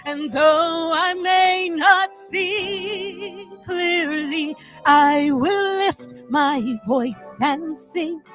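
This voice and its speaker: High-voiced woman singing slowly over music. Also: a bit bright. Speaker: singer. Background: musical accompaniment.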